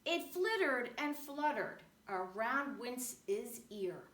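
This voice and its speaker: A woman reads a children's rhyming picture book with animated, rhythmic expression. Her voice is bright and on the high side, and she takes her time over each phrase. The room adds noticeable echo. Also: slow pace. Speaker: storybook reader. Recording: roomy echo, faint background noise.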